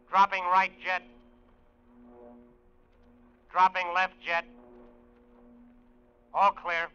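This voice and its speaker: Cocky american rocket pilot, old radio drama, very bright slangy voice, muffled old recording. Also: male voice, high voice, clean tone, heavily acted. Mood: keyed up. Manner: breezy, slangy radio patter. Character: cocky, easygoing male test pilot flying a rocket plane. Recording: dull vintage radio recording.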